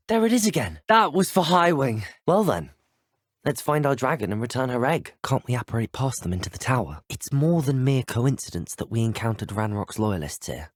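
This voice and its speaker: A young man with a British accent playing a polite, curious, self-assured teenage wizard student in a video game, courteous and gently wry. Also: medium pitch, slightly rough, dry recording, clean studio sound. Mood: urgent, animated. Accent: British English. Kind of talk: video game character voice acting.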